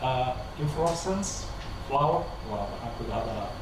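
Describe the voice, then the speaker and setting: A man's voice: medium pitch, big pitch swings, moderate pace. Hesitant man speaking in an echoey hall. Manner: hesitant, many fillers. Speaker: co-researcher on the panel. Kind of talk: lecture Q&A. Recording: echoey hall from far off, noisy.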